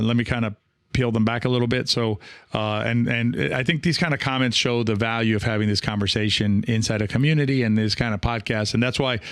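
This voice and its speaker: A man hosting a solo podcast in a reflective, halting way, often correcting himself and checking in with the listener. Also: mid-range voice, clean tone, no room sound, clean studio sound. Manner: halting, self-correcting, often seeking agreement with "right?". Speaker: podcast host.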